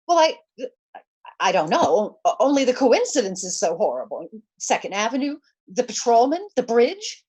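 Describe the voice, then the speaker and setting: An actress playing a nervous, demanding invalid wife in 1940s radio suspense style, with a fretful, insistent, stammering delivery. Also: female voice, a little high, big pitch swings, moderate pace, a little mellow, breathy edge.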